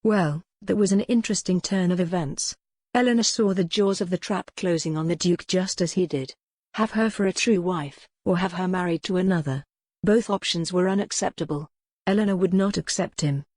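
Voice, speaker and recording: A woman reading scripted historical romance as an audiobook narrator, measured and articulate. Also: on the low side, darkish tone, no echo, clean studio sound.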